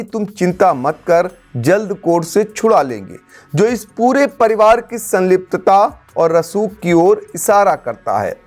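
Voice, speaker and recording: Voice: mid-range voice, darkish tone. A news narrator reading a scripted crime report in a dramatic, emphatic manner. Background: background music.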